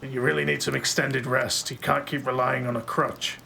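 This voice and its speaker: Brash, protective tough-guy marshal, male actor: very gravelly, blunt, audio drama with faint ambience behind. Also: mid-range voice, flat delivery, normal speed, pushed and bright, slight background noise. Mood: gruff concern. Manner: blunt, swearing, brusque. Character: brash, violent, foul-mouthed male marshal, gruffly protective.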